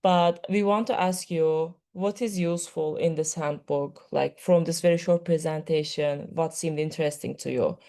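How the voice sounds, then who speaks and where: A woman's voice: low voice, steady tone, normal speed, few pauses, dark tone. A woman whose first language is Turkish, giving a conversational conference presentation with frequent fillers. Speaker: workshop presenter.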